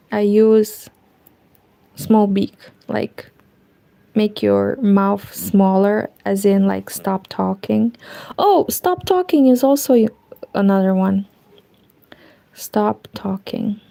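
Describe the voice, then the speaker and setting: Romanian woman chatting softly while knitting. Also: mid-range voice, creaky. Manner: rambling, talks to herself, drifts into whispers. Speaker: knitting streamer. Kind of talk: casual chat while knitting. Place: home room with curtains.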